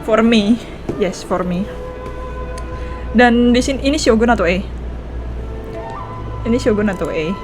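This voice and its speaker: A young Indonesian woman with an Indonesian accent, chatting excitedly while reacting to a game. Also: on the high side, normal speed, pauses often. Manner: excitable, chatty, laughs often. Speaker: gaming streamer. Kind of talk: casual let's-play reaction. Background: game music.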